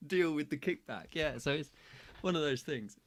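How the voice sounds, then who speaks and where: A man hosting a casual interview, chatty and quick to laugh. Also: a little high, lively intonation. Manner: chatty, laughs easily, says "mate". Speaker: podcast host.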